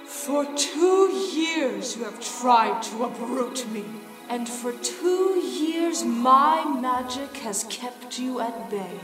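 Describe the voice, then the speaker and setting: A woman's voice: fairly high pitch, animated. A woman playing an ancient magical flower spirit, cold, menacing and imperious, in an audio play. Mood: cold, taunting. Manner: slow, deliberate, imperious. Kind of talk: audio play character acting. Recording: long echoing reverb, music underneath. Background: music.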